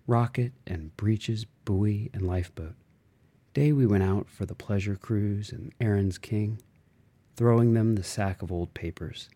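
Low, slow, mellow male narrator. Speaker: reader of a literary novel. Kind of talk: literary audiobook narration.

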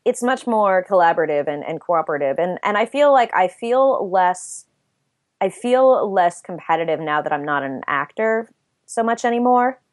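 A young woman speaks with a soft, soothing voice that sits a little low for a woman. She talks in a thoughtful, self-searching way, circling back and restarting her phrases. The sound is dry and close to the mic. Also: lively intonation, normal speed, dry recording. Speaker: interview guest, writer and voice actor. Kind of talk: casual interview chat.

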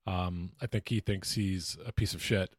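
A man's voice: sits low, fairly even intonation, normal speed, breathy edge, dry recording, clean studio sound. An American man co-hosting a podcast in a casual, conversational sports-chat style. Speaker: podcast co-host.

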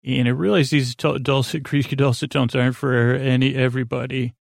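A man hosting a sleep podcast, rambling gently in a soothing, creaky drawl full of fillers and self-corrections. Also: level tone, a little fast, dry recording, clean studio sound. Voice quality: creaky, low-key drawl.

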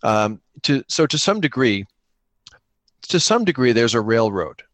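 A man who podcasts about solo tabletop gaming, chatting casually and explaining in an animated way, repeating phrases for emphasis. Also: medium pitch, unhurried, dry recording.

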